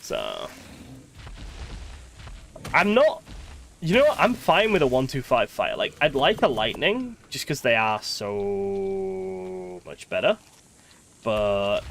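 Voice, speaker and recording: A man's voice: fairly high pitch, wildly swooping pitch, slow pace, creaky, pauses often, fairly bright tone, a little hiss or hum. A man giving casual live gameplay commentary as a streamer, chatty and thinking aloud, with wry, sweary grumbling. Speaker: game streamer.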